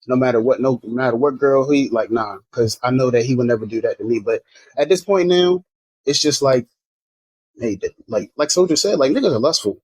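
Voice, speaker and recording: A man's voice: mid-range voice, expressive, fairly quick, a little mellow, husky, poor audio. A man from Maryland talking frankly on a podcast, rambling with lots of restarts and swearing. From Maryland, USA. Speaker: podcast guest. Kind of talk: casual podcast chat.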